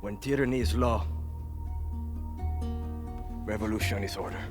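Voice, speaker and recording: An actor playing a tough, passionate young guerrilla in a game, blunt and earnest. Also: male voice, fairly low pitch, fairly even intonation, unhurried, lightly acted. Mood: firm, resolute. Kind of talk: dramatic video game acting. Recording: loud music behind.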